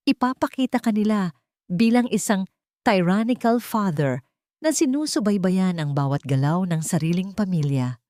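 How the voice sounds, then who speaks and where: Woman narrator as female attorney, audio drama, calm, pointed, wary, formal, wide intonation, dry close sound. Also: mid-range voice, no room sound, natural voice. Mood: alarmed, critical. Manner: deliberate, formal, mixes English legal terms into speech. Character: calm, sharp female lead lawyer, pressing and wary.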